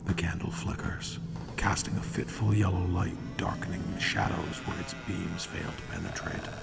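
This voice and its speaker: Casting: male actor as wry, ominous host of a radio murder anthology, deep and creaky, theatrical pacing. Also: over loud music. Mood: hushed, suspenseful. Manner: slow dramatic pauses, ominous, wry. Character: ominous, sardonic male narrator of true-crime murder tales. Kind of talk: old-time radio mystery narration.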